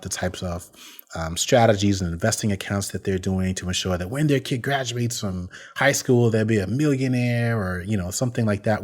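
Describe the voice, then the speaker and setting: A man co-hosting a personal finance podcast, talking in a relaxed, conversational way with frequent fillers and restarts. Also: on the low side. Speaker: podcast co-host. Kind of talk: casual podcast chat.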